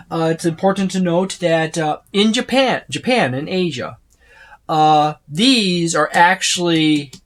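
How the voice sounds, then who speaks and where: A video host giving a casual, unscripted overview in a rambling, explanatory way with informal asides. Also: medium pitch. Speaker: host of tabletop gaming video channel.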